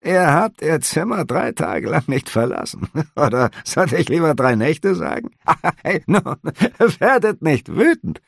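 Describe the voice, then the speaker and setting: A man's voice: fairly high pitch, big pitch swings, unhurried, gravelly, husky, dry recording, clean studio sound, pitched above the performer's own voice, exaggerated voice. A man putting on a giggly, fawning innkeeper. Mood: placating. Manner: hasty, giggly, placating. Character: nervous, prying middle-aged male innkeeper.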